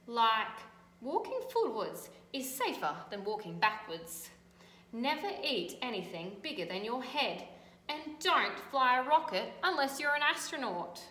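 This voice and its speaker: An Australian woman teacher reading a picture book aloud and gently pointing out details in the pictures. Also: fairly high pitch. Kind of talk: storybook read-aloud with commentary on pictures. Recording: echoey room, faint background noise.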